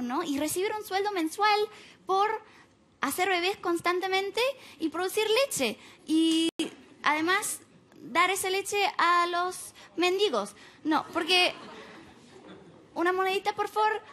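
Deadpan stand-up, young woman from the US, Argentine-tinged Spanish, very high voice, faint hiss. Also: unhurried. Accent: Argentine-tinged Spanish, American. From United States. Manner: deadpan, ironic asides with frequent tag questions. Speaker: stand-up comedian. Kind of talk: stand-up routine. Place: TV comedy club stage with live audience. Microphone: stand mic.

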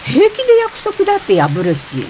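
A woman's voice: high voice, huge pitch swings, moderate pace, darkish tone, slight background noise, rough recording. An actress playing a frank married woman fed up with her husband, in conversational, wry radio drama dialogue. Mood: grumbling. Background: rain.

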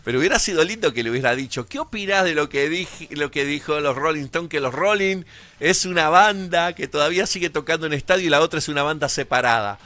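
A man's voice: on the high side, expressive, fast, sharp tone, no echo. A man, co-hosting a live show from a radio studio, chatty and rambling. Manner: chatty, rambling, gives the call-in number. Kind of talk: casual chat on a live show. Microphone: headset and studio mic.

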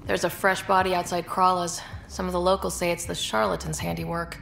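Game heroine: young woman explorer, lowish creaky voice, wry and cocky, roomy echo. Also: level tone, a little fast, a bit bright. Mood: businesslike. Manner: wry, terse, self-assured. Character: dry, cocky young female space explorer. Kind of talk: video game dialogue acting. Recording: roomy echo, faint background noise.

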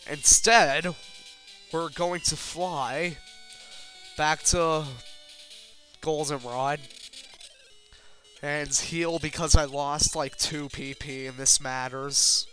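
A man's voice: on the high side, steady tone, extremely slow, pauses often, bright, forceful tone. A man giving casual, rambling video game commentary in a self-deprecating way, with casual swearing. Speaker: let's player. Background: video game music.

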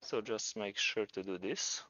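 A man's voice: fairly low pitch, a little slow, sharp tone, muffled recording. A man with a Croatian accent presenting a programming tutorial, talking informally and thinking aloud as he demonstrates. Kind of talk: casual screencast tutorial narration.

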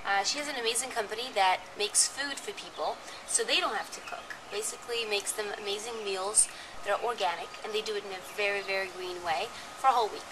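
A bubbly young woman hosts a cooking vlog, eager and chatty as she introduces her guest. The sound is recorded on camera from a few feet away. Steady outdoor noise sits under her voice. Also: mid-range voice, fast talker. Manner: bubbly, enthusiastic. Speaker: host. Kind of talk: casual cooking vlog chat. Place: sunny garden patio, then home kitchen.

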